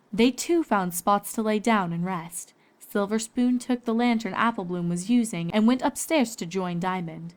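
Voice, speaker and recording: A woman reading scripted story narration as the narrator of a fan fiction. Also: mid-range voice.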